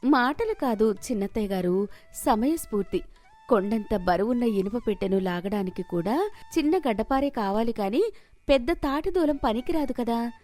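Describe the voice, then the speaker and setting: Casting: a woman playing a young village bride, composed and quick-witted, fairly high voice, cartoon moral tale. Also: lively intonation, mellow, soft background music, natural voice. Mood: calmly witty. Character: calm, witty, dutiful young daughter-in-law. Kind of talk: animated moral story character voice.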